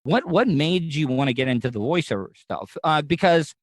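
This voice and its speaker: A man's voice: fairly high pitch, lively intonation, moderate pace, dry recording, studio quality. An American man hosting a podcast interview, chatting casually in long, rambling asides. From United States. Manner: rambling, talks in looping asides. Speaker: podcast host.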